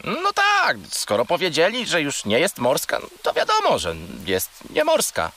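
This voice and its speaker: Excitable little furry creature, hugely animated. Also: male voice, on the high side, a little slow, exaggerated voice. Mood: curious, unimpressed. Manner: excitable, opinionated, childlike. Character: small furry male creature, childlike, curious, naive. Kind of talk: children's audio drama acting.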